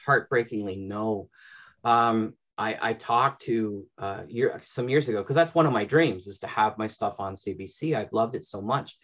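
A man in his fifties with a Canadian accent chats casually and enthusiastically, telling anecdotes with halting, repeated words over a video call. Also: fairly low pitch, fairly bright tone. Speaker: interview guest, audio drama producer. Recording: slightly dull, lo-fi video call.

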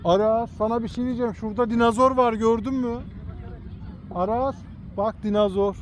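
Gentle dad coaxing a toddler outdoors. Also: male voice, very high voice, steady tone, normal speed, slight background noise. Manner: gently coaxing, points things out to a small child. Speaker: father filming his son. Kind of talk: casual family vlog. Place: outdoor park with cartoon statues.

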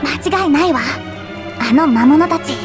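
A woman's voice: sits high, normal speed, music in the background, natural voice. A woman voicing a bossy, confident little girl mage in a fantasy video game, speaking assertively. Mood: certain, indignant. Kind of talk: video game cutscene acting.

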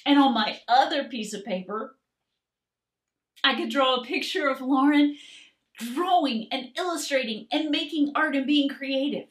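Slow, high-voiced woman teaching young children. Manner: gentle, encouraging, speaking to young children. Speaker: art teacher reading aloud to children. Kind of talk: expressive children's storybook read-aloud and lesson.

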